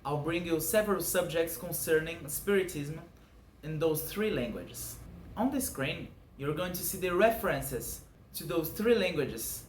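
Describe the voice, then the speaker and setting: A young Brazilian man with a clear Brazilian Portuguese accent talks through a scripted explanation, earnest and measured. His pitch moves a lot, with lively rises and falls. He sounds roomy and echoey, with a faint hum of background noise. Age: 20s. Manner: earnest, measured, lists points one by one. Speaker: channel host explaining a doctrine.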